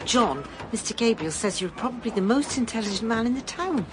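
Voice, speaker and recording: A woman's voice: on the high side, brisk, lightly acted. A woman playing an eager, chatty, adoring vet's wife in a radio drama. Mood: eager, admiring. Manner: eager, gushing, animated. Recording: noisy ambience behind the voice.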